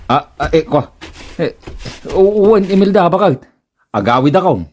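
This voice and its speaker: A man's voice: fairly high pitch, lively intonation, a little slow, darkish tone. A man with an Ilocano accent playing a henpecked, easily flustered village husband in a comedy radio drama, stammering and hemming. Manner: stammering, hemming and hawing, grunting exclamations.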